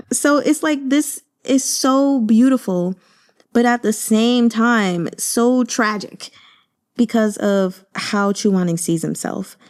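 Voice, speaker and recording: A woman hosting a book channel, giving casual commentary in a gushing, expressive manner. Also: fairly high pitch, slow pace. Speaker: host of a book reading channel.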